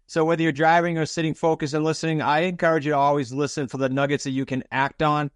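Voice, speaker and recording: A man's voice: a little high, level tone, fast, no echo. Podcast host, man with a dry close sound. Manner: informal, emphatic with repeated words. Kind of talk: upbeat podcast intro and interview chat.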